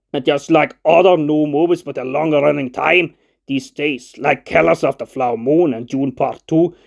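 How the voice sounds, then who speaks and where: A man's voice: on the high side, gravelly. A man narrating a scripted film review as a video essay, argumentative and opinionated, in formal, slightly unidiomatic phrasing.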